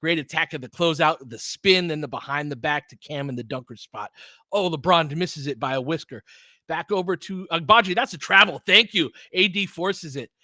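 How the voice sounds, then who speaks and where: A man's voice: a little high, normal speed, bright, forceful tone, no room sound, studio quality. A man doing live basketball play-by-play as a partisan fan, in short clipped phrases with casual, slangy exclamations and bits of analysis. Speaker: fan play-by-play commentator and host.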